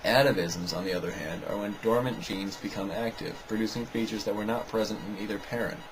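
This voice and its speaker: A man's voice: on the low side, fairly even intonation, a little fast, noisy background. A man giving a scripted, argumentative rebuttal commentary in a sarcastic, dismissive way. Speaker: video narrator rebutting another video.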